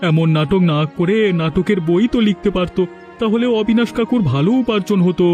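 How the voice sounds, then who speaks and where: A man's voice: high-pitched, brisk, dark tone, faint music behind, natural voice. A man playing a smug, gossipy village man in a cartoon, with a knowing, sarcastic way of talking. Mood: mocking. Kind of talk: cartoon dialogue acting.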